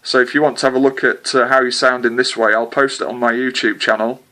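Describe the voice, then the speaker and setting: A man's voice: mid-range voice, steady tone, fast, bright, forceful tone. A man chatting hesitantly with a distant contact as a CB radio operator, with lots of filler sounds. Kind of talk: casual radio contact chat.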